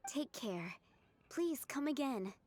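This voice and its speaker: A woman voicing a kind, gentle female restaurant keeper who speaks calmly and earnestly, in video game dialogue. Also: sits high, takes it slowly, a little hiss or hum. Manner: warm, calm and earnest. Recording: game dialogue over music.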